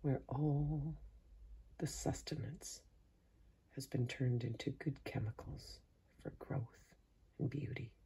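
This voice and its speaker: A man softly guiding a sleep meditation in a hushed, breathy voice that often sinks into a whisper, slow and soothing with long pauses. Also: medium pitch, fairly even intonation. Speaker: meditation guide. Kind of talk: guided sleep meditation, soft-spoken.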